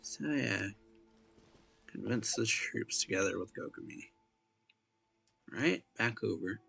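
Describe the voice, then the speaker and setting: A man giving casual, low-key game commentary in a gravelly, husky voice, speaking in halting bits. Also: lively intonation, bright, forceful tone, soft background music. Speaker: reaction video host.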